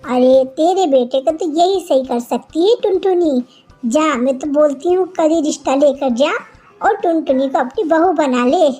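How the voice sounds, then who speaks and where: A woman's voice: high-pitched, unhurried, warm tone, soft background music. A woman voicing a bossy, gossipy female village bird in a kids' cartoon, chatty and coaxing. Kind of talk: Hindi kids' cartoon character voice.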